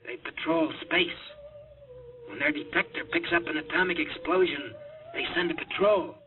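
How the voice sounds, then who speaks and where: An actor playing a frantic young rocket test pilot who pleads urgently, in a 1950s radio drama acting style, heard through a tinny old radio broadcast. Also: male voice, fairly high pitch, normal speed, soft background music, natural voice. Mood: dazed.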